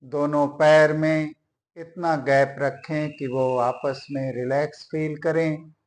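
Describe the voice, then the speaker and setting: A man teaching yoga, giving guided relaxation instructions in a deliberate way with long pauses. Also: fairly high pitch, little pitch movement.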